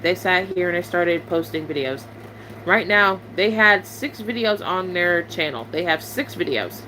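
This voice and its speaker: A bright, sharp-toned young woman, venting to her viewers. She is blunt, sarcastic and fed up. A faint hum and hiss sit under the recording. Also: lowish voice, expressive, measured pace, low-quality recording. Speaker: YouTuber.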